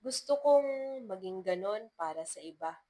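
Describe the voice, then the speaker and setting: A woman storyteller voicing a sincere young male teacher in love, gentle and hesitant. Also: mid-range voice, lively intonation, measured pace. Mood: reflective.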